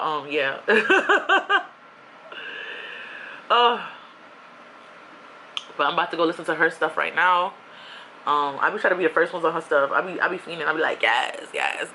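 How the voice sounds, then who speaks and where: A woman's voice: on the low side, slight background noise. A young woman from New York, ranting casually on her own vlog in a chatty, slangy way. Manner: chatty, animated, with slang and asides. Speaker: YouTuber.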